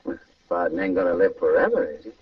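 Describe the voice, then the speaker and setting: A man's voice: low-pitched, very animated, quick pace, husky, exaggerated voice. An actor playing a slangy, scheming small-time American gangster and ladies' man in an old-time radio crime drama, wheedling in tough street talk. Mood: eager, scheming. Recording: muffled old radio recording, distant mic.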